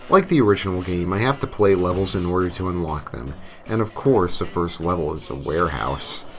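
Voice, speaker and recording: A man reading a scripted story as narrator in a tongue-in-cheek way, sometimes hamming up his lines. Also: sits low. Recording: music playing behind the voice. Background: novelty songs and game music.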